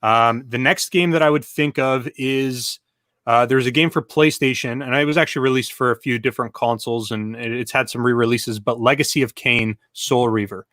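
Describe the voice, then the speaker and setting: A man co-hosting a casual panel chat, speaking in a relaxed, thoughtful way with many fillers. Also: mid-range voice, a little fast, dry recording, clean studio sound. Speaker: co-host.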